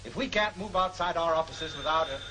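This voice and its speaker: A man's voice: a little high, fairly quick, sharp tone. An actor playing an agitated, indignant businessman in an old film serial. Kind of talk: 1940s film serial acting. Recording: noisy old film soundtrack.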